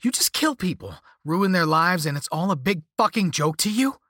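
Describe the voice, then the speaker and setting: A man's voice: medium pitch, animated, unhurried, fairly bright tone, slightly rough, no room sound, studio quality. A man acting a desperate, angry cursed protagonist in a story, speaking in a heated, emphatic, strained way. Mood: furious.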